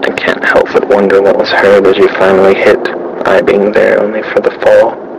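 Low gravelly man, brooding. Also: completely flat delivery, moderate pace, heavy background noise. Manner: quiet, brooding, introspective musing. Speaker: audio log narrator. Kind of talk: personal audio diary.

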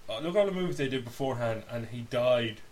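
Blunt man chatting over steady hiss. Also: mid-range voice, quick pace. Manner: blunt, sweary, disparaging. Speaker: podcast co-host. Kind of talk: casual banter about films and games. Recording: hissy podcast recording with background noise.

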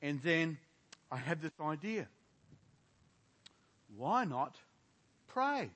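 A man's voice: on the high side, sing-song, drawn-out words, fairly bright tone. An older man, a pastor, leading a prayer and preaching a sermon, talking straight to his congregation and putting rhetorical questions to them. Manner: frequent fillers and restarts.

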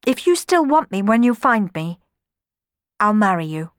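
A woman's voice: medium pitch, sing-song, measured pace, no echo, studio quality, pitched above the performer's own voice. A woman storyteller playing a kind, modest young kitchen maid who speaks firmly and sincerely. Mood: firm, tender. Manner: firm, sincere.

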